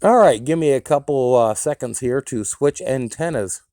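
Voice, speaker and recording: A man's voice: medium pitch, animated, normal speed, warm tone. An American man giving a casual, enthusiastic and plain-spoken product review as a radio hobbyist.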